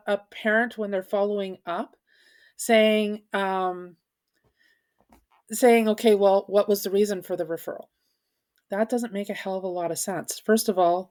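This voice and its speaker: A woman's voice: medium pitch, darkish tone. A woman giving informal, argumentative commentary, speaking emphatically and posing rhetorical questions. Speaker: video commentator, parent advocate.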